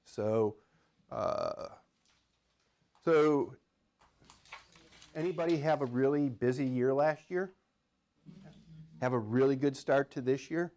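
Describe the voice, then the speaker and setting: A man's voice: mid-range voice, slow pace, pauses often, darkish tone. A man, an economics professor giving a live talk in a small room, conversational and wry, asking the audience questions. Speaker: economics professor, featured speaker.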